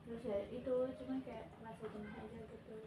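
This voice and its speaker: A woman's voice: a little high, level tone, quick pace. A young woman playing a client in a counseling role-play, talking casually and rambling with fillers. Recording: echoey room, distant mic, noise.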